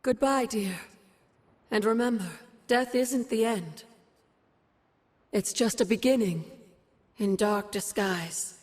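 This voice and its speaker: A woman playing a gentle, solemn, cryptic witch mentor in a video game. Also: medium pitch, takes it slowly, pauses often. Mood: solemn, tender. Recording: long echoing reverb.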